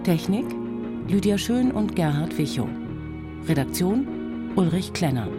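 A woman reads broadcast credits as an announcer. Her voice is slightly low but moves expressively, and she speaks slowly over loud music. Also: very animated. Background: prominent music.

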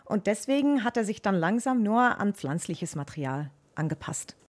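A woman's voice: medium pitch, very animated, moderate pace. A woman animal expert with a Dutch accent, explaining things to children in a plain-spoken, matter-of-fact way.

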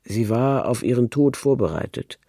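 A man reading a literary narration in a grave, deliberate manner. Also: mid-range voice, animated, mellow, husky, no echo, studio quality.

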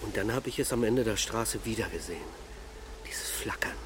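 A man acting as a restless middle-aged father in a German radio drama. His low voice is hushed and reflective, feeling its way through a confession. Clear ambient noise sits behind him. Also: moderate pace, lightly acted. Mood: dreamy, wistful.

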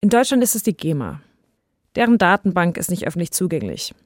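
A woman's voice: fairly low pitch, big pitch swings, fairly quick, no room sound, clean studio sound. A woman hosting a podcast, telling a scripted story in a conversational narrating style. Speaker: podcast host. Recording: music bed under voice. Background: background music.

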